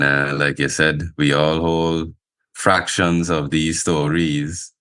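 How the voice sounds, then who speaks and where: A male podcast host with an extremely deep voice asks his questions hesitantly and pauses on filler words. His tone is smooth and resonant. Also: fairly bright tone. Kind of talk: interview questions.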